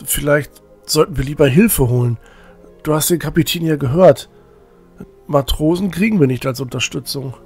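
A man's voice: a little high, normal speed, a little mellow, slightly rough, faint music behind. A man playing a timid, fretful young cleric in a fantasy audio drama, speaking hesitantly. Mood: worried. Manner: hesitant, stammering.

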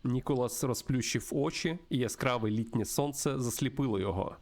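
A man with a creaky voice, hosting a let's-play, reading a visual novel aloud and adding his own commentary. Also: medium pitch, brisk, darkish tone. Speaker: host of a video game let's-play show.

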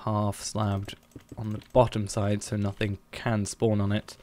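A man's voice: on the low side, completely flat delivery, unhurried. A man streaming a building game, thinking aloud in a hesitant, musing way as he plans. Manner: musing, hesitant, planning out loud.

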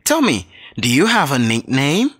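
Man reading lively textbook dialogues. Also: medium pitch, moderate pace, breathy edge, dry recording, studio quality. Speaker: textbook audio reader. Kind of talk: scripted dialogue reading for learners.

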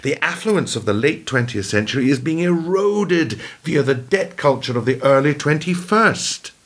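An actor playing a principled, defiant former prime minister in a radio play, speaking in an earnest, oratorical way with a husky voice. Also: male voice, on the high side, lively intonation, normal speed, a bit bright. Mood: earnest, grave.

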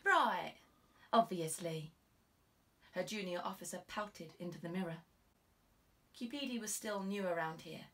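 A woman's voice: fairly low pitch, normal speed, distant mic. A young British woman reading scripted literary narration of a crime novel as an audiobook narrator in a small recording booth. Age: young adult. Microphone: studio condenser with pop filter, side-on.

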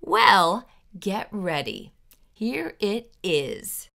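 Bright, animated woman reading to children. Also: medium pitch, vocal fry. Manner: theatrical, deliberate, emphatic. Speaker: children's storytime host. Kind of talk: expressive picture-book read-aloud. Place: small indoor room with bookshelves.

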